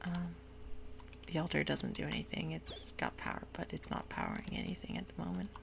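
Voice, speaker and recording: A woman whispering soft, hushed ASMR narration over gameplay at home, with frequent ums, as keyboard clicks sound behind her. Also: low-pitched, huge pitch swings, brisk, creaky, background noise. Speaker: ASMR gaming creator.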